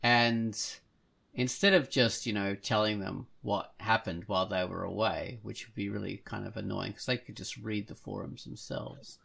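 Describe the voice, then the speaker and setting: A man's voice: fairly low pitch, fairly even intonation, moderate pace, vocal fry, a bit bright. A man hosting a podcast, telling stories in a casual, rambling, hesitant way. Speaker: podcast host.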